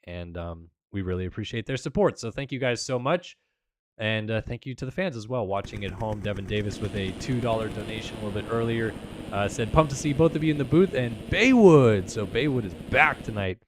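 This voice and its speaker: A man's voice: lowish voice, lively intonation, fairly quick, background noise. A man doing play-by-play commentary for an esports race, his voice still recovering after being lost, in an upbeat announcer manner. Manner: upbeat announcer, reading sponsors and plugs.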